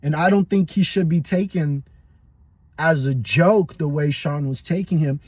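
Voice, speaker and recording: A man's voice: on the high side, a little slow, husky, no room sound. A young adult man casually giving blunt, profane, rambling fight predictions as a podcast host.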